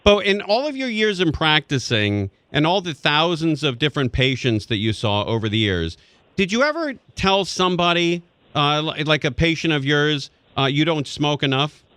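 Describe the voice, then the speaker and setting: A man in his forties hosting a radio talk show, jokey and animated as he chats with a guest. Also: on the high side, moderate pace, no echo. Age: 40s. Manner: jokey, animated, laughs along. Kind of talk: casual radio chat. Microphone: studio microphone.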